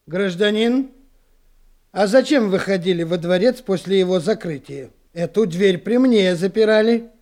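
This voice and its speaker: An actor playing a suspicious, officious police officer in a radio play, questioning in an official, insistent way. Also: male voice, high voice, normal speed, darkish tone, lightly acted. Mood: stern, suspicious.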